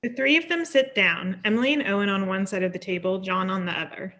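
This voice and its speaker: A woman narrating a script as the stage-direction reader. Her voice is bright and crisp-edged, sitting at a medium pitch. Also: quick pace.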